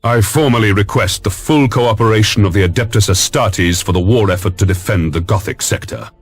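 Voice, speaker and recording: An actor playing a resolute lord admiral in a game cutscene, giving formal, measured, authoritative military briefings. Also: male voice, on the low side, natural voice. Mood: stern command. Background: faint music.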